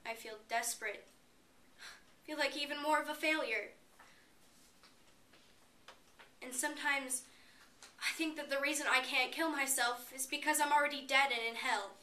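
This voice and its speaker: A woman's voice: high-pitched, pushed and bright. A woman performing a dramatic stage monologue as a despairing, bitter young college student, emotive and confessional. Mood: trapped, desperate. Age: young adult. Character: despairing suicidal college girl, bitter and pleading. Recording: roomy, distant mic, faint noise.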